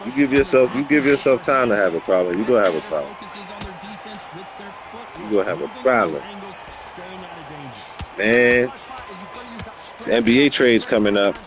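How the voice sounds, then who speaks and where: A man vlogging casually on a dog walk outdoors, animated and slangy, often breaking into laughter. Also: mid-range voice, moderate pace, long pauses, over music. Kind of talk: casual vlog chat.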